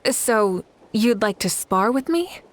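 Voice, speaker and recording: A calm gracious leader. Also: female voice, a little high, takes it slowly, a little hiss or hum. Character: adult woman leader, composed and gracious. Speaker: game character. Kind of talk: video game voice acting.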